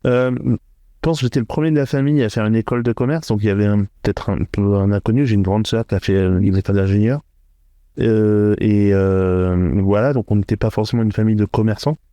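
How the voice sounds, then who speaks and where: A middle-aged man from northern France answering interview questions, thoughtful and hesitant, with frequent filler pauses. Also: lowish voice, steady tone, mellow, dry recording. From France, Hauts-de-France. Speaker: podcast guest, entrepreneur. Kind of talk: interview conversation.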